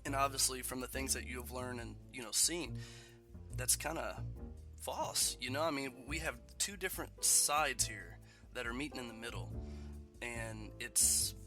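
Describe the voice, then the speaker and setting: A man's voice: mid-range voice, normal speed, over music. A man hosting a casual podcast interview, congested from a cold, chatty and laughing between points. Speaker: podcast host.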